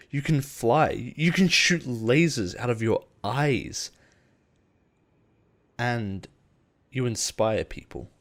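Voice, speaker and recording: A man playing a possessive, persuasive boss in an intimate audio roleplay, speaking in a measured, earnest way with deliberate pauses. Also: mid-range voice. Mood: admiring. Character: possessive, persuasive male CEO boss, smooth and protective. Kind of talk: intimate dramatic roleplay monologue.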